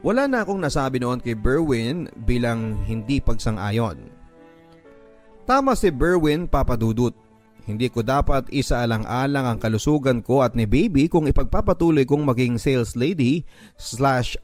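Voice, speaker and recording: Male radio drama narrator, mid-pitched, emotive first-person letter reading, faint music bed. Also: a little mellow. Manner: emotive, slowing on sad moments.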